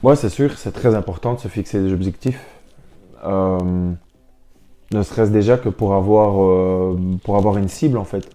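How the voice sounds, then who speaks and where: A man's voice: on the low side, moderate pace, dark tone, faint music behind. A young man talking informally and reflectively in an interview as a professional athlete, often repeating words as he builds his sentences. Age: young adult. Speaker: professional basketball player, interview guest. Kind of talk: casual interview talk.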